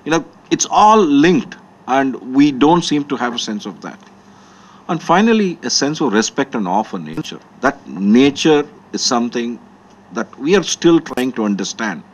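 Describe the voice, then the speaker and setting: A man's voice: mid-range voice, sing-song, moderate pace, low-quality recording. A man speaking as a panelist, wrapping up his remarks in a reflective, earnest way. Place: conference hall.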